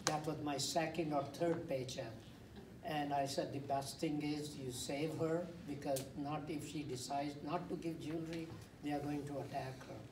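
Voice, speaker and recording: A man's voice: on the high side, steady tone, moderate pace. An older man at a workshop telling a personal story in a conversational way, searching for words, speaking into a handheld mic in a community room. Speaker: workshop participant. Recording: echoey room, mic at a distance, noisy.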